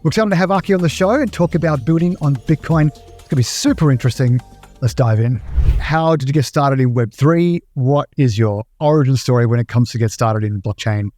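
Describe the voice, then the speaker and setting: A man's voice: mid-range voice, brisk, darkish tone. A man hosting a podcast, reading a scripted intro and asking interview questions. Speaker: podcast host. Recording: faint music under the voice.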